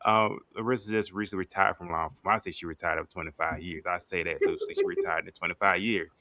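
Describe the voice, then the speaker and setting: A man's voice: sits low, racing, bright, forceful tone, dry recording. A man in his forties co-hosting a podcast, talking informally and emphatically and often repeating himself. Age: 40s. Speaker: podcast co-host. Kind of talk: casual chat.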